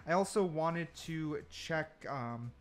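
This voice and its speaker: Higher-voiced man doing casual game commentary. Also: takes it slowly. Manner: informal, slangy, thinking aloud. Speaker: gaming content creator.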